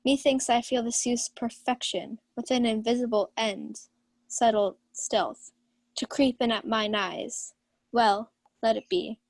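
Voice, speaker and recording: A proud noble lady of a Shakespeare radio drama, played by a young woman. She speaks with theatrical command in a fairly high voice, recorded dry. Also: unhurried, no echo. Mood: smitten. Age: young adult. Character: Olivia, proud, imperious young countess. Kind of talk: 1940s radio Shakespeare acting.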